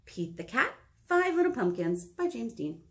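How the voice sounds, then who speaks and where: An American woman in her thirties leading a children's storytime, reading aloud and chatting to young listeners in an encouraging, expressive manner. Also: fairly high pitch, moderate pace, slight background noise. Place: small indoor meeting room.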